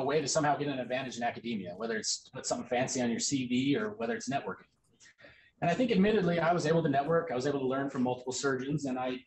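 A young American man in his thirties presents a grand rounds talk to fellow surgeons at a rapid clip. His delivery is relaxed and conversational, often filled with ums and uhs. A faint low rumble sits under the recording. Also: poor audio. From United States. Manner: quick, casual lecturing with frequent ums and uhs. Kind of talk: academic slide presentation.

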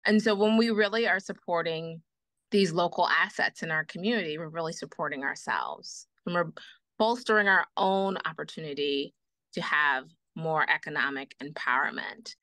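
A woman guest on a podcast talks with passion about her community and piles up phrases for emphasis. Her voice is bright and sits a little low, and the recording is dry and close. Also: normal speed, no echo. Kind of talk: earnest advocacy in conversation.

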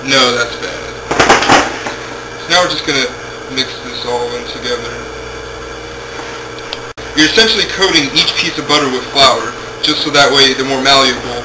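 A man's voice: mid-range voice, normal speed, long pauses. A young man hosting a casual home cooking demonstration in his kitchen, joking offhandedly as he works, recorded on a camera's own mic. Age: young adult. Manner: jokey, offhand, talks while working. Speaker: home cooking show host. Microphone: camera mic, a few feet away. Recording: noisy home-video camera audio.

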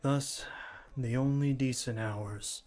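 A man playing a shy, earnest young college student with a cool front, halting and formal, in a scripted ASMR roleplay. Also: medium pitch, steady tone, darkish tone, close to an everyday voice. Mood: matter-of-fact. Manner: halting, stammering, formal wordy phrasing.

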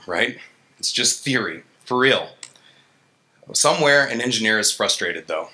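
A man's voice: lowish voice, bright, forceful tone. A man in his thirties giving an informal, colloquial conference talk as an engineer, self-deprecating and acting out his reactions, into a stage microphone. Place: stage in an indoor gallery event space. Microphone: handheld-style vocal mic on a stand.

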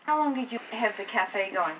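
A woman asks short questions in an oral history interview. Her voice is bright, and the recording is dull, with faint background noise. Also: mid-range voice, expressive, normal speed.

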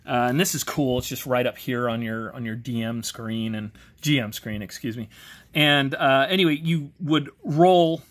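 A man's voice: medium pitch, normal speed, a bit bright. Rambling man casually reviewing a tabletop game. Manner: rambling, self-deprecating, enthusiastic. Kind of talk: casual off-the-cuff review chat. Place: home garage.

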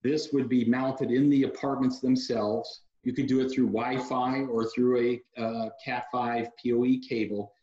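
A man's voice: mid-range voice, a little slow, hardly any pauses. Brief: man in his forties, industry guest, easygoing product explainer, roomy echo, dull webcam sound. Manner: relaxed, practical, sales-savvy explainer, many uh fillers. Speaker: security technology company guest. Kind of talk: video call interview, explaining products. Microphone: video call webcam mic. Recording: echoey room over a dull video call.

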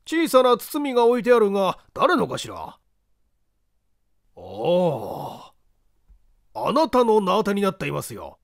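A man reading an audiobook, voicing an excitable, polite gentleman with an exclamatory manner. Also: sits high, pitched above the performer's own voice, exaggerated voice. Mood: curious, puzzled. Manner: exclamatory, mocking politeness, drawn-out guffaws. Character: excitable, polite Japanese gentleman, astonished then heartily amused.

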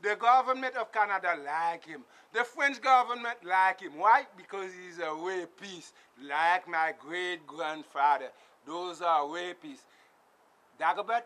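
High, bright-voiced Haitian man ranting. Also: slow. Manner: emphatic and repetitive, swings between shouting and muttering. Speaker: political vlogger. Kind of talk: impassioned political rant to camera. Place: small indoor space with blue tarp walls. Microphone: camera close up in front of him.

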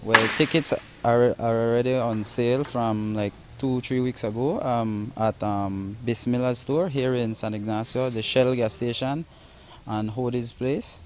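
A man's voice: mid-range voice, steady tone, measured pace, fairly bright tone, a little hiss or hum. A young man, a concert promoter, talking casually in an interview, with many fillers and restarts, recorded on a handheld mic in a large open hall. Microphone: handheld reporter mic.

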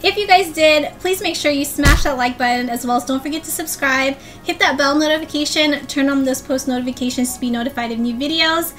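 A woman's voice: high-pitched, fairly quick, hardly any pauses, fairly bright tone. A young woman hosting a cooking video from her home kitchen, explaining each step to her viewers in an upbeat, instructive way. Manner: upbeat, instructive, addresses viewers as you guys. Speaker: cooking vlogger. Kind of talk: cooking tutorial narration.

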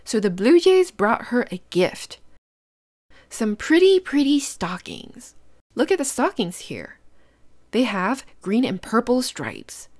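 A woman's voice: on the high side, wildly swooping pitch, slow pace, a little mellow. A woman hosting a children's read-aloud, using simple instructive phrasing and putting questions to young listeners. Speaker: host reading picture books to children.

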